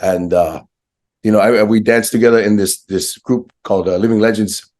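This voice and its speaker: A man with a slightly hoarse voice hosting a casual, brotherly interview in informal slang. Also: lowish voice, steady tone, moderate pace, darkish tone. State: hoarse, recovering from a viral infection. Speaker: podcast host.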